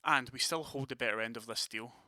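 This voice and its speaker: A man's voice: mid-range voice, fairly quick, sharp tone, no echo, clean studio sound. A man playing a commanding, decisive vampire prince in an audio drama, with a formal, authoritative manner. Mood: firm, assured.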